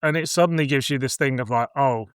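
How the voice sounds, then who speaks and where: A man's voice: medium pitch, quick pace, clear, resonant tone, dry recording. Blunt, sweary man chatting, close and dry. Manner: blunt, sweary, acts out imagined thoughts in quotes. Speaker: podcast host. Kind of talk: casual podcast chat about cult sci-fi.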